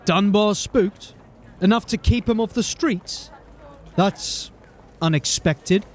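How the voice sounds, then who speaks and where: Casting: shrewd, no-nonsense watch sergeant, fairly high male voice, fantasy audio drama, faint street noise. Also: takes it slowly. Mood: probing. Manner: probing, matter-of-fact.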